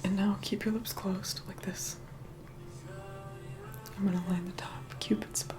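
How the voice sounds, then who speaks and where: A woman playing a reluctant, haughty vampire in a whispered ASMR roleplay, hushed, bossy and begrudging. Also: mid-range voice, little pitch movement, unhurried, over music, natural voice.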